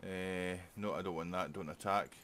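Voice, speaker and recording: A man with a low-pitched voice hosts a casual let's play. He chats informally and thinks out loud as he plays. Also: steady tone, moderate pace, slight background noise. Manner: chatty, thinking aloud while playing. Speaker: gaming channel host.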